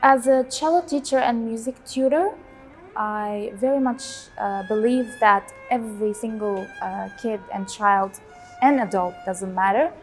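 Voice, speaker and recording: Hesitant young woman, fairly high voice. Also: measured pace. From Syria. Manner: hesitant, many fillers, warm about music. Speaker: cellist and cello teacher. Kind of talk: relaxed personal interview answers.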